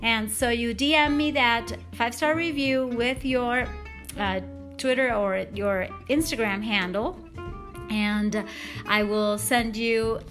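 A woman's voice: on the high side, fairly even intonation, takes it slowly, fairly bright tone, faint music behind. Bubbly woman. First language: Spanish. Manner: upbeat, bubbly, encouraging, with frequent laughs. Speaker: podcast host and fitness coach. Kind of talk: enthusiastic motivational podcast talk.

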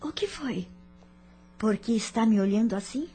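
Actress as a forthright young heiress for radio drama: high, breathy, teasing. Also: female voice, animated, normal speed, mellow. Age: young adult.

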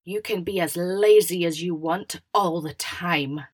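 A woman's voice: fairly low pitch, a little slow, breathy edge, dry recording. A woman playing a pushy, self-assured brother in an audio drama, emphatic and cajoling. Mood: cajoling, insistent. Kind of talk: audio fiction character acting.

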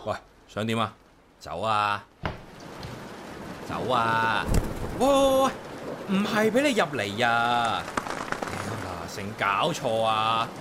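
A man's voice: fairly low pitch, very animated, slow pace, long pauses, noisy background. A man with a Hong Kong accent playing a grumpy, solitary, sarcastic man in a comic radio drama, grumbling and muttering to himself. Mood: exasperated. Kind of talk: comic radio drama acting and inner monologue.

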